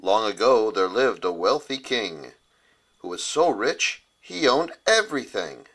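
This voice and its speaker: A man reads a children's storybook as its author. His voice sits on the low side. The delivery is rhymed bedtime storytelling. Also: big pitch swings, a little slow, fairly bright tone. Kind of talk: rhyming bedtime storybook narration.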